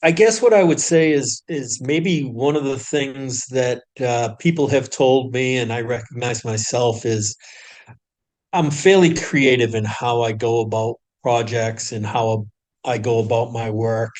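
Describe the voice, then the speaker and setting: A middle-aged man as a podcast guest, speaking reflectively and hesitantly, with pauses and restarts as he recounts his career. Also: medium pitch, a little mellow. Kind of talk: reflective conversational storytelling.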